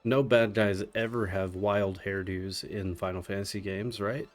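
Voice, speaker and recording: A man's voice: fairly low pitch, fairly even intonation, unhurried. A man commentating a live speedrun in a casual, playfully sarcastic way. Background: game music and dialogue.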